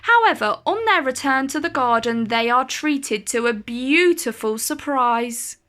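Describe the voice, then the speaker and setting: A woman reading a children's picture book aloud as a storyteller, with deliberate phrasing and dramatic emphasis. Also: sits high, animated, bright, forceful tone.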